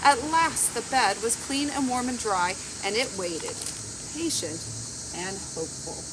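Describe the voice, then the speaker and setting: Woman doing library storytime, kinda high voice, expressive reading, outside w clear bg noise n bugs. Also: unhurried. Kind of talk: reading a picture book aloud. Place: outdoors on a garden bench. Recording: outdoor background noise with insects.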